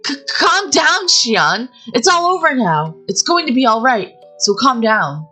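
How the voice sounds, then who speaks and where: Woman voicing an anxious teenage boy, urgent, raised voice, bright tone. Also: a little high, measured pace, faint music behind, pitched above the performer's own voice, full character voice. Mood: urgent soothing. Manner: urgent, pleading calm.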